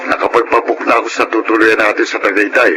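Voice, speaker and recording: A man's voice: fairly low pitch, level tone, fast talker, background noise, lightly acted. A man playing an easygoing husband and father in a Filipino radio drama, with a Filipino accent. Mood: pleased, relaxed.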